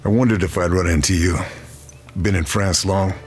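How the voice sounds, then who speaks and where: An actor playing a grim, resolute Allied sniper in a war video game, terse and hard-bitten. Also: male voice, low voice, fairly even intonation, normal speed, natural voice. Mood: steady, familiar. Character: grim, resolute male Allied sniper operative, stealthy and determined. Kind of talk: video game acting, cutscenes and mission narration. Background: music.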